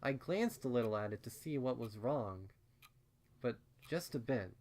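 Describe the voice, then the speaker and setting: A man reading a first-person fan fiction story as narrator, in a measured, subdued manner. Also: medium pitch, lively intonation, a little mellow.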